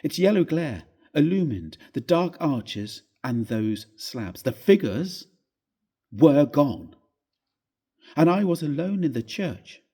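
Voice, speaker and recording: A man giving a dramatic, expressive reading of a Gothic ghost story, with deliberate phrasing and a husky voice. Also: medium pitch.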